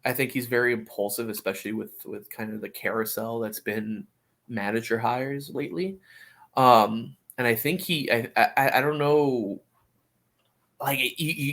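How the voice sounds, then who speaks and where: Guy on a sports podcast giving his takes, mid-pitched voice, kinda stumbles finding words. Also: a bit bright. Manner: opinionated, halting while searching for words. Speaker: podcast co-host. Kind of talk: casual soccer discussion.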